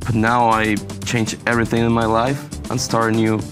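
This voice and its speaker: A young man from Venezuela, a university student answering interview questions in halting English and saying "you know" often. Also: medium pitch, monotone, music in the background. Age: young adult. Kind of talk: casual interview.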